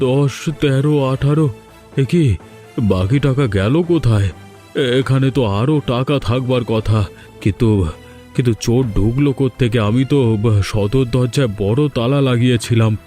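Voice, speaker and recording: Guy doing a fussy old rich village boss in a cartoon, mid-low voice, wails and frets, faint music under it. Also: warm tone, natural voice. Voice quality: exclaiming, wailing over his loss. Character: older rich village headman, fretful and vengeful. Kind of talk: cartoon dubbing.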